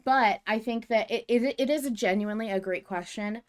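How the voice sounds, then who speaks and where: A woman's voice: a little high, fairly quick. A woman co-hosting a podcast in casual discussion, speaking in an earnest, emphatic way. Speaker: podcast co-host.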